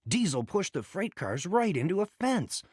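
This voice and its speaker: A man reading a children's read-along story as a scripted, dramatic and expressive narration. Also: high voice, moderate pace, breathy edge, no echo, clean studio sound.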